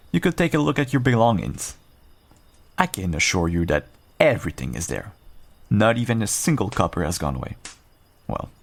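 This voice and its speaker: A man playing a calm, protective werewolf rogue in a fantasy roleplay audio drama, speaking in an earnest, measured way. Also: low voice, gravelly, heavily acted. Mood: calm, friendly.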